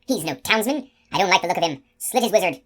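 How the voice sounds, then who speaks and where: A woman voicing a fierce, bony outlaw woman with a shrill, scornful manner, for an audiobook. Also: animated, fast talker.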